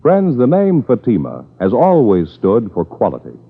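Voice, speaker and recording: A man's voice: mid-range voice, wildly swooping pitch, moderate pace. A man announcing a 1950s radio crime show and its sponsor with punchy, dramatic emphasis. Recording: tinny old radio with loud music.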